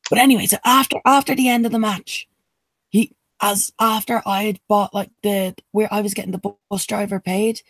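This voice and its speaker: Husky-voiced woman telling a story. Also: mid-range voice, brisk, no echo. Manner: storytelling, excitable, sweary. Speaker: podcast co-host. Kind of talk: casual chat.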